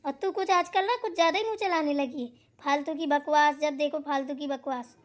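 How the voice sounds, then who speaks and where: A woman's voice: high-pitched, fairly quick, natural voice. A woman voicing a bossy, scolding elder sister-in-law in a Hindi family drama cartoon, hectoring and preachy. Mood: threatening, scornful. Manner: hectoring, sharp-tongued, preachy.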